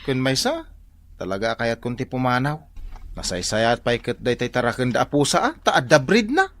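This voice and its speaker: A man's voice: medium pitch, sing-song, normal speed, fairly bright tone. An actor playing a mild, simple village man in an Ilocano radio drama, speaking hesitantly. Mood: resigned, earnest.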